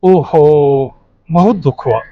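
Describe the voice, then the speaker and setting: A glib, scheming con man played by a male actor in a homemade moral drama. His voice sits a little high. He talks with flattering deference and a self-satisfied streak. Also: dry recording, phone-quality sound, natural voice. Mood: false sympathy. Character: sly, flattering con man cheating a rich employer. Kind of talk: amateur moral-story video skit acting.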